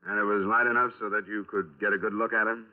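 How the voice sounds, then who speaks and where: A man's voice: fairly low pitch, level tone, fast talker, lightly acted. A man acting in a 1950s radio crime drama as a terse, matter-of-fact hardboiled insurance investigator. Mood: pressing, cool. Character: brisk, businesslike hardboiled male insurance investigator. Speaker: lead investigator and narrator. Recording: tinny vintage radio recording with music.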